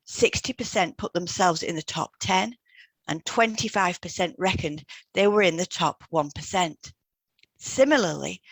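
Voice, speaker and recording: A woman co-hosting an educational podcast, reading scripted explanations in a measured, explanatory way. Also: mid-range voice, no echo. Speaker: podcast co-host, hypnotherapist. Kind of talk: scripted podcast discussion, read aloud.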